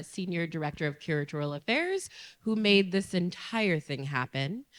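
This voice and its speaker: Woman, event organizer, low voice, slightly hesitant, introducing a speaker.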